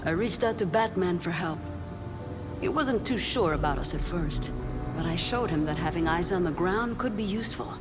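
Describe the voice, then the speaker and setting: An actress playing a tough, no-nonsense grandmother in a video game, brisk and matter-of-fact. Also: on the low side, expressive, over music, close to an everyday voice. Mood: confiding, resolute. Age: older. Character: tough, no-nonsense grandmother leading a neighborhood watch. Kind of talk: video game cutscene acting.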